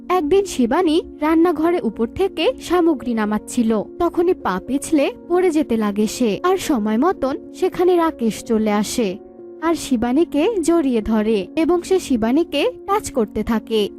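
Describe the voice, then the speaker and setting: A woman's voice: high voice, expressive, unhurried, warm tone, faint music behind. A woman reading scripted story narration.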